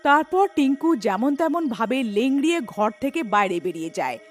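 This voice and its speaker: A woman's voice: sits high, very animated, normal speed, soft background music. A woman reading scripted narration for a cartoon horror tale.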